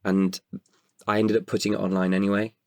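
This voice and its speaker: A man, an actor, talking reflectively about himself in an interview, haltingly and with pauses mid-sentence. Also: on the low side, little pitch movement, no room sound, studio quality. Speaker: actor and guest writer. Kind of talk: reflective personal interview talk.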